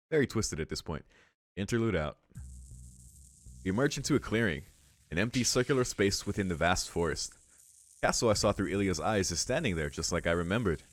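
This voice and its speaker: A man hosting a let's play, reading a visual novel aloud with casual, sweary asides. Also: low-pitched. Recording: music behind the voice. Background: game music.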